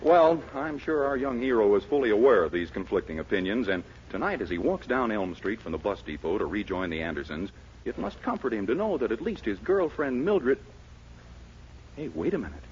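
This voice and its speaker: A man's voice: on the low side, big pitch swings, fairly quick. A man narrating a scripted 1940s radio comedy as its announcer, with a solicitous, mock-dramatic manner. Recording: muffled, noisy 1940s radio recording.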